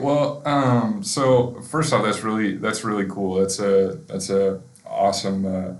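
A man hosting a podcast interview, asking rambling, friendly questions and affirming his guest often. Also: sits low. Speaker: podcast host. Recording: echoey room.

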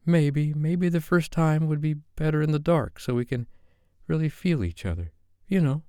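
A man voicing a shy, anxious young woman who speaks haltingly and pleadingly in a romance audiobook. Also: fairly high pitch, mellow, clear, resonant tone, no room sound, studio quality, pitched above the performer's own voice, close to an everyday voice. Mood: anxious, hesitant. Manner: halting, hesitant. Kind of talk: romance audiobook character dialogue.

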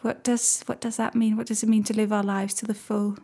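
A woman's voice: medium pitch, little pitch movement, a little fast, warm tone, breathy edge. A woman hosting a podcast, introducing the episode and politely asking a guest questions. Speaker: podcast host. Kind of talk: podcast interview.

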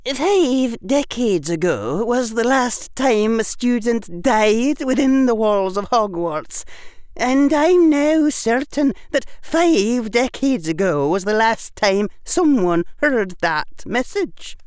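A woman playing a stern older female professor in an audiobook story, speaking in a deliberate, formal way. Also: on the high side, expressive, a little mellow, no echo, studio quality. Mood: grave. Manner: slow, deliberate, formal delivery. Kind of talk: audiobook character acting.

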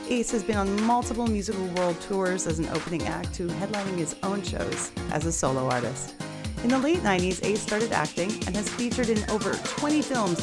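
An upbeat woman hosts a radio interview, leaning on names and titles as she introduces her guest. Her voice sits at an ordinary middle pitch. Loud music plays under her the whole time. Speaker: radio show host. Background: music playing.

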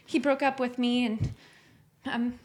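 Woman playing a high-voiced jilted barfly. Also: little pitch movement, close to an everyday voice. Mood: forlorn. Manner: rambling, halting. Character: lost, oversharing jilted female barfly. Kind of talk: improvised comedy radio play.

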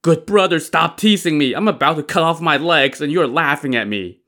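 A man's voice: medium pitch, expressive, moderate pace, sharp tone, voice pushed up. A man voicing a frantic, gullible male traveler in a story, whining and pleading in exclamations. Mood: lamenting.

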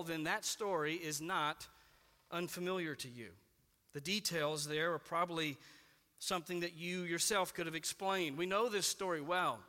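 Bright-voiced preacher in a reverberant hall. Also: male voice. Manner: earnest, building emphasis then dropping low on key words. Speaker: pastor. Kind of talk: sermon.

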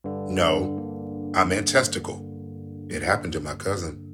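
A jittery paranoid flatmate. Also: male voice, low-pitched, a little slow, faint music behind. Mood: insistent. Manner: excitable, slangy, rambling. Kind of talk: radio play acting.

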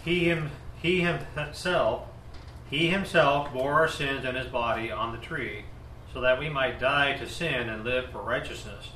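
Slow, bright-voiced man reading scripture from afar. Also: mid-range voice. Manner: slow, careful reading aloud. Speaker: class member reading scripture. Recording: distant mic in an echoey room, faint noise.

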